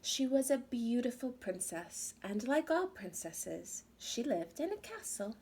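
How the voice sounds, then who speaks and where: A woman's voice: a little high, big pitch swings, unhurried, a little mellow, slight background noise. A woman reading a children's story aloud expressively, as if to young listeners. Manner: addresses young listeners with questions. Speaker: storybook reader.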